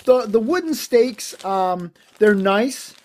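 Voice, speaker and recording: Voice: mid-range voice, expressive, slow, darkish tone. Practical homestead host, thinking aloud. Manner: thoughtful, weighs pros and cons as they go; plain-spoken and practical. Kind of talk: casual first-impressions product review.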